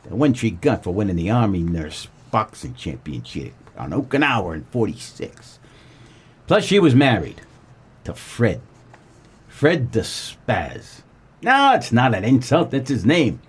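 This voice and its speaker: An actor playing a wry, world-weary 1940s private eye, narrating a comedic noir radio play. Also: male voice, fairly low pitch, unhurried, husky, heavily acted. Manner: wry, deadpan, colloquial.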